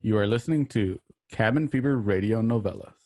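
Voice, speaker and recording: A man's voice: on the low side, a little fast, dark tone, dry recording. A man hosting a radio drama show, introducing it with a playful, theatrical announcer delivery and joking banter.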